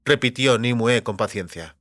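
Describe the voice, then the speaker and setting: A man narrates literary fantasy fiction from a script. His voice sits at a middle pitch, recorded very dry with no room sound. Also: normal speed, a bit bright, no room sound. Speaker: audiobook narrator.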